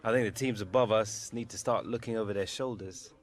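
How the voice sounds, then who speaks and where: A man's voice: on the low side, a little fast, lightly acted. A British man with an urban London accent playing a confident, easygoing young star footballer in a video game cutscene. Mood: upbeat, composed. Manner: cocky, laid-back, slangy. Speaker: professional footballer character.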